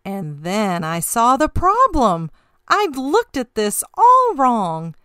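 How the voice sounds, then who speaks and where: Woman reading as a bright, animated storybook octopus. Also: a little high, takes it slowly, dry recording, clean studio sound, full character voice. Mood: realizing, pleased. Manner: expressive, sing-song rhyming delivery. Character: sad, puzzled male octopus telling his tale. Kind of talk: rhyming picture-book read-aloud.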